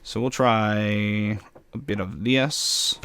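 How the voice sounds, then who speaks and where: A man casually commenting while building in a game, with a slightly raspy voice, rambling and poking fun at himself. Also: lowish voice, fairly even intonation, very slow, fairly bright tone, a little hiss or hum. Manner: rambling, self-mocking, chuckles often. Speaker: gameplay commentator.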